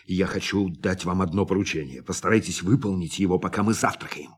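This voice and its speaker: A spaceship captain with a low, husky voice. He is composed and courteous, giving orders in a measured, authoritative radio-drama manner. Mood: grave, guarded. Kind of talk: Russian radio play acting.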